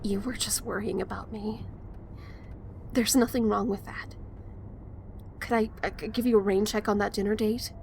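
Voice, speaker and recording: A preoccupied, guarded young woman played by an actress in an audio drama. Her mid-pitched voice is creaky and hesitant, with light noise behind it. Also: fairly even intonation, normal speed, long pauses, darkish tone, natural voice. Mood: apologetic.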